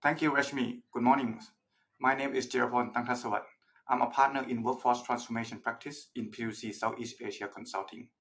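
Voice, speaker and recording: A man, a consulting partner, giving a formal, measured self-introduction in a webinar. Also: medium pitch, fairly even intonation, a bit bright, poor audio.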